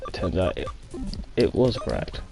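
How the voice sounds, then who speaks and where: A man's voice: low voice, very animated, moderate pace, creaky, over music. A man giving casual, rambling commentary as he plays a game, wandering off into chatty asides and reading the game's dialogue aloud. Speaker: let's play host.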